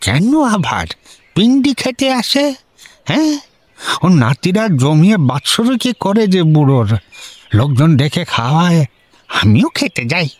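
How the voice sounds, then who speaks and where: A man's voice: a little high, sing-song, no room sound. An actor playing a simple, rambling, deferential village man in a comic radio drama, with a rural West Bengal accent and a hesitant, roundabout manner. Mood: mock-baffled. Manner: roundabout, hesitant, drawn-out rustic talk. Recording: music behind the voice.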